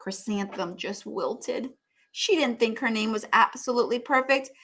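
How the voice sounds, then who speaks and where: A woman reading a picture book aloud in an expressive, animated teacher's manner, with asides to young listeners. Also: fairly high pitch, normal speed, husky. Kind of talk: expressive children's story read-aloud.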